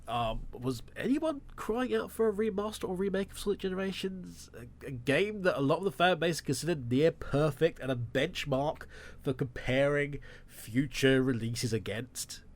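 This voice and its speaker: A man's voice: on the high side, animated, moderate pace. A man hosting a solo podcast, sounding nasal and congested from a cold, talking with sarcastic, wry asides. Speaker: podcast host.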